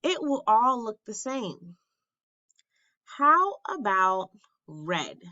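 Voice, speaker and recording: Woman voicing an eager, bright-voiced young schoolboy. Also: a little high, very animated, extremely slow, no echo, dull audio, pitched above the performer's own voice, full character voice. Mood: helpful. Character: eager, loyal third-grade boy, supportive classmate who loves art.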